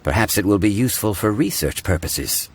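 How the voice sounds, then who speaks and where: An actor playing a calm, learned, courteous gentleman in a video game, explaining things in a measured, formal way. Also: lowish voice, slightly rough. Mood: composed, scholarly.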